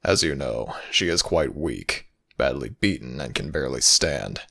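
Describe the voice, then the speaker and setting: A man playing a stern, brooding jackal god of the underworld in a fantasy audio roleplay, with a very deep, gravelly voice and a grave, formal manner. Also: lively intonation, unhurried, bright, forceful tone, heavily acted. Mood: grave, reserved.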